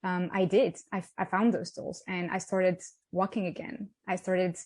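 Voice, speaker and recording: A woman's voice: lowish voice, level tone, normal speed, darkish tone, dry recording. French woman, hesitant, dry close sound. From south of France. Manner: hesitant, many ums and restarts. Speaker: guest, app founder. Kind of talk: interview conversation. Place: outdoors by the sea.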